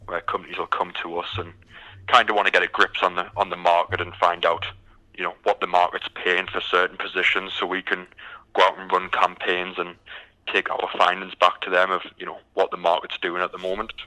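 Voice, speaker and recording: A man's voice: deep voice, completely flat delivery, fairly quick, pushed and bright, rough recording. A British man from the UK, a recruitment firm founder answering interview questions with many ums and restarts.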